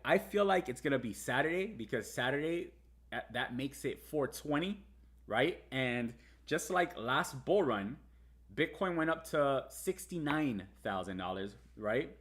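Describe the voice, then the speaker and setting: A man's voice: mid-range voice, fairly bright tone. A man from the United States whose first language was Spanish, casually talking viewers through crypto markets on a livestream, with many fillers and restarts. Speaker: livestream host. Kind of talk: casual live market commentary.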